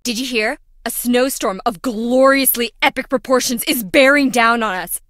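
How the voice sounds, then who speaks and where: An actress playing a quirky, excitable young lab engineer in a video game, chatty, blunt and wry. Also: female voice, a little high, normal speed, shouting, heavy background noise, lightly acted. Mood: excited. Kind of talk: video game character voice acting.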